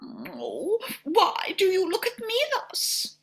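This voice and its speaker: A woman playing a petulant, spoiled young noblewoman in a comic radio play, theatrical and quick to blame. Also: very high-pitched, huge pitch swings, a bit bright, full character voice.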